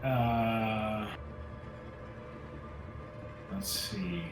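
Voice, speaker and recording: A man's voice: lowish voice, expressive, drawn-out words. A man playing a tabletop roleplaying game, talking casually. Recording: roomy echo, music underneath.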